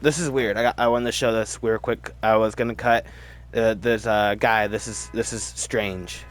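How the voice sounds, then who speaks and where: A man's voice: fairly low pitch, fairly even intonation, moderate pace. A man hosting a casual, opinionated commentary video, talking in a rambling, emphatic way and often interrupting himself. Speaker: video host.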